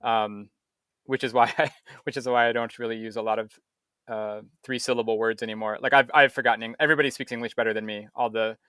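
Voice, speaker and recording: A man from Ohio, speaking as a podcast guest, relaxed and self-deprecating, laughing often and restarting his sentences. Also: medium pitch, fast talker, smooth, no echo, clean studio sound. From Ohio, USA. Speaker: guest, juggler. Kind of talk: casual interview chat.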